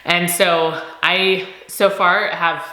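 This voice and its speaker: A woman in her thirties, a homeschooling mom, chatting casually in a vlog, slightly nasal from a cold, upbeat and quick to laugh. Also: lowish voice, steady tone, sharp tone, roomy sound, low-quality recording. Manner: upbeat, laughs often, repeats words for emphasis.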